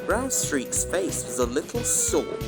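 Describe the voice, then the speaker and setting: A man's voice: fairly high pitch, big pitch swings, slow pace, vocal fry. A man reading a scripted children's story as a narrator, with music behind him. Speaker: narrator of a fan dub.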